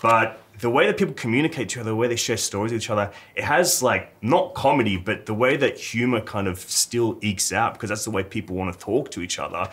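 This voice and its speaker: A man with a fairly low voice hosts a relaxed talk about films. He speaks earnestly and reflectively, and his sentences are loose and full of "like" and "yeah". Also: quick pace, few pauses. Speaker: host. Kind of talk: casual chat.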